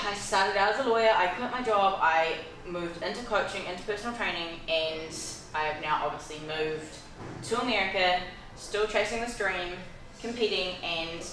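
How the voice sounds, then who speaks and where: New Zealand woman in a very echoey hall. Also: fairly low pitch. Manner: candid, self-deprecating. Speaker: fitness coach. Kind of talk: seminar self-introduction. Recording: very echoey room, mic far off, background noise.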